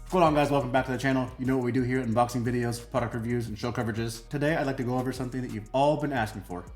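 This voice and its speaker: A man's voice: mid-range voice, steady tone, rapid-fire. A man hosting a product unboxing in an emphatic, casual, punchy style, like a hyped channel presenter. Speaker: product review channel host. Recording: roomy echo, faint music behind.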